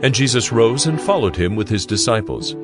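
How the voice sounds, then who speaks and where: A man's voice: mid-range voice, animated, fairly quick. A man narrating a dramatized audio Bible in a solemn storytelling manner. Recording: music behind the voice. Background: background music.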